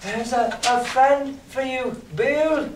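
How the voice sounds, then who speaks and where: Scared storybook boy, played by a man: high, hesitant, pleading, in an echoey distant recording. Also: lively intonation, normal speed. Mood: trembling. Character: frightened young innkeeper's boy, polite and pleading. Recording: roomy, distant mic with background noise.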